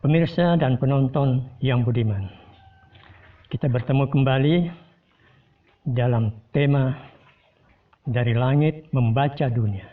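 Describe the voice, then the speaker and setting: A man delivering a formal, measured scripted commentary to his viewers. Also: mid-range voice. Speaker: host giving a commentary. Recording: distant tinny phone-like sound.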